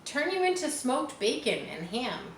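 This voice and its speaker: A woman's voice: high-pitched, very animated, measured pace, echoey room, noisy background, voice pushed up. A woman voicing a grim, gossipy old female sheep from a storybook, with a matter-of-fact, snappish manner. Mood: blunt, grim.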